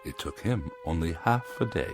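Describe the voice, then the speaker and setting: A man's voice: deep voice, lively intonation, normal speed, soft background music. A man playing a pompous, irritable and lonely magazine critic with haughty, precise diction in a 1960s television drama. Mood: haughty, scornful. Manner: haughty, ornate, precise wording.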